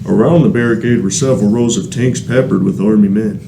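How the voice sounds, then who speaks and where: A man's voice: on the low side, brisk, creaky, warm tone, far from the mic, a little hiss or hum. A man narrating a scripted comic radio play, recorded in a roomy space away from the microphone.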